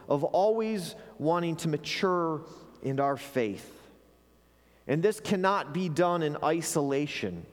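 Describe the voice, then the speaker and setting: A man pastor preaching an earnest sermon to his congregation, sometimes repeating words as he restarts a phrase. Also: fairly high pitch. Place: church sanctuary. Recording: long hall reverb.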